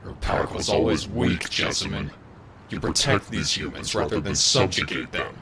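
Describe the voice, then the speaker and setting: A man voicing an arrogant, vengeful male rival werewolf in a dramatic roleplay audio, gravelly, theatrical and formal in his wording. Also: low-pitched, animated, moderate pace, over a noisy crowd. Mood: bitter, scornful.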